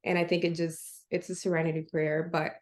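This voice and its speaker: A woman's voice: fairly low pitch, little pitch movement, brisk. A young woman from Kenya telling her story on a video call from home, thoughtful, with frequent fillers. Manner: thoughtful, with frequent likes and ums. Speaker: patient sharing her story. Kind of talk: reflective interview answers. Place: home room with curtains. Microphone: video call webcam.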